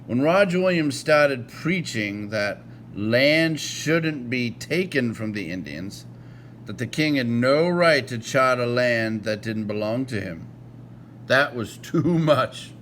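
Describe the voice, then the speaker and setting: An American man reading aloud informally like a read-along host, halting at times and correcting himself as he goes. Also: mid-range voice, animated, a bit bright, slight background noise.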